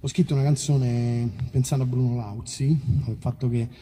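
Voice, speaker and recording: Mellow, dark-voiced Roman man telling stories. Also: medium pitch, steady tone, moderate pace, slightly rough, big-room sound. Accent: Roman Italian. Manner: informal, rambling, self-deprecating. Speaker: singer-songwriter talking between songs at a concert. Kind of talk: casual onstage storytelling.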